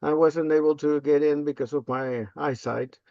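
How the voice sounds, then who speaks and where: Gravelly older man from Peru, halting. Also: on the high side, steady tone, mellow, no echo. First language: Spanish. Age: 50s or older. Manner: halting, with frequent um and uh. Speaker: interview guest, language access specialist. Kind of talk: casual interview answers. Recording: slightly dull, lo-fi close audio.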